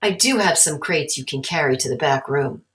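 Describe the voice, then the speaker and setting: A woman's voice: deep voice, expressive, a little hiss or hum. Woman reading as a hesitant bakery man. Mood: reluctant. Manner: measured, reluctant. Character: hesitant, fair-minded male bakery worker.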